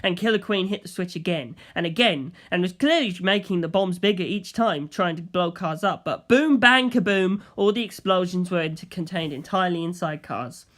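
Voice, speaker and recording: A narrator reading a fantasy story aloud in a scripted storytelling style, at a medium pitch. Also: normal speed, few pauses, fairly bright tone. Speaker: audiobook narrator.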